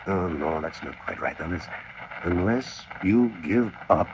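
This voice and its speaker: Brave, animated radio-serial adventurer, noisy recording. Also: male voice, deep voice, a little slow, highs cut off. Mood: slow, puzzling out. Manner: urgent, reassuring, take-charge delivery. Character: Bill, brave protective adventurer, grown man. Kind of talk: 1940s radio serial acting.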